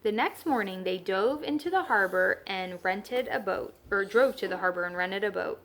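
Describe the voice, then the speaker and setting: A woman's voice: medium pitch, expressive, moderate pace, a bit bright. A woman teacher reading a children's story aloud and adding simple explanatory asides.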